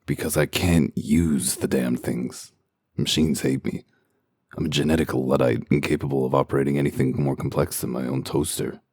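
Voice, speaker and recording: Male actor for hard-boiled wolf detective in comic dub. Extremely low, husky, dry wit, terse delivery. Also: fairly even intonation, normal speed, a little mellow, no room sound. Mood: dry, matter-of-fact. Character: gruff, cynical wolf detective in human guise.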